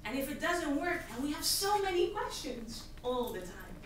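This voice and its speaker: A woman in her thirties from Tanzania, giving an earnest, emphatic pitch as a nonprofit founder from a stage podium. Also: very animated, normal speed. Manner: earnest, emphatic, gesturing at slides. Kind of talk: prepared presentation speech. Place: large hall, on stage at a podium. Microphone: podium mic, recorded by a far audience camera. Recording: echoey hall from far off, hissy.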